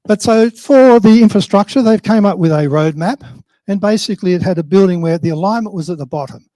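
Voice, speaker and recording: An older Australian man speaks in a high-set voice with a soft, mellow tone. The sound is dry and close, with very little room in it. He presents informally to a conference, plain-spoken and wryly self-deprecating. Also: no echo.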